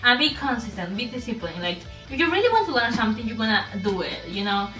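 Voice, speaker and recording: A woman's voice: on the high side, sing-song, fast talker. A woman vlogger with a strong non-native English accent, talking casually to camera, rambling with many fillers and restarts and laughing often. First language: not English. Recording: echoey room, voice a bit far from mic, faint music.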